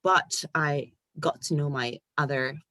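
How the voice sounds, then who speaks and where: Low-voiced animated woman from Ghana. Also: unhurried. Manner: animated storyteller, laughs often, acts out quoted voices. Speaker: guest. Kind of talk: casual interview conversation.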